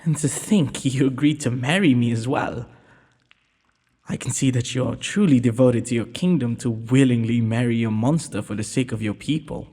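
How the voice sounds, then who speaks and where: A man playing a gentle, courteous young prince in a romantic audio roleplay, speaking formally and a little hesitantly. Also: mid-range voice, moderate pace, natural voice. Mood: amused, teasing. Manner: formal, polite, breathy speech with hesitations. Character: gentle, courteous young underground prince, nervous, earnest suitor. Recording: long hall-like reverb.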